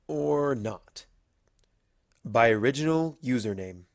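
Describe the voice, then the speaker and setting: A man's voice: medium pitch, expressive, slow pace, gravelly, dry recording, clean studio sound. A man reading a scripted story aloud as its narrator.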